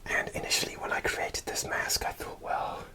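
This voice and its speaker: A man whispering an ASMR show-and-tell in a soft, unvoiced whisper, hesitant and full of small fillers, partly from inside a costume helmet. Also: moderate pace. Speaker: ASMR creator.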